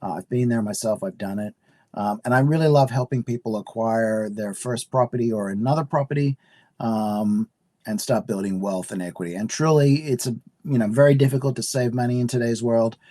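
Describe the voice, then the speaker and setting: A middle-aged man, originally from Australia, hosts a live webinar in an upbeat, chatty way, dropping plenty of "um"s and "uh"s as he talks to his viewers. His voice is a little hoarse from a cold. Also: medium pitch, a little fast, mellow. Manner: upbeat, chatty, many fillers. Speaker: realtor hosting a webinar.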